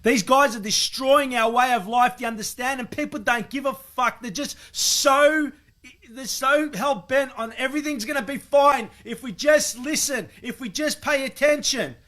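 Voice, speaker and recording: High, sharp-voiced angry man. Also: normal speed. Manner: indignant, insulting, emphatic. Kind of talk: angry profane rant.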